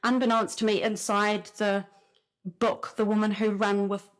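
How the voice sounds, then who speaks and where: A woman with a New Zealand accent gives a casual spiritual talk, telling stories in an animated way and often saying "like". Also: mid-range voice, a little slow. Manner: animated storytelling, frequent "like" fillers. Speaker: spiritual speaker.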